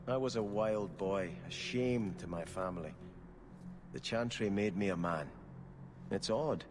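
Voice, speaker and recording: Deep-voiced, earnest prince. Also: male voice, noisy background. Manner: formal, confessional. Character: devout, conflicted young exiled prince, earnest. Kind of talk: video game cutscene acting.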